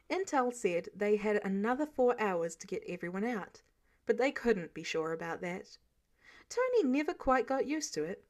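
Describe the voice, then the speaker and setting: A woman reads fiction aloud as an amateur audiobook narrator. Her voice sits at a medium pitch. The delivery is scripted storytelling. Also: darkish tone.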